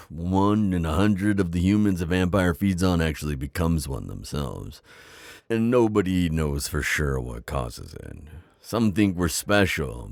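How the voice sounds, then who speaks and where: A man playing a kindly, worldly old vampire innkeeper in an intimate ASMR roleplay, with a deep, gravelly voice and a hospitable, chatty manner. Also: a little mellow, no echo, clean studio sound, full character voice. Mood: gentle, wise.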